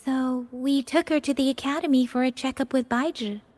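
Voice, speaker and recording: A high-pitched, helpful young woman from a video game. She explains things patiently and in measured phrases, with soft music playing underneath. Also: fairly even intonation, no room sound. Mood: patient, explaining.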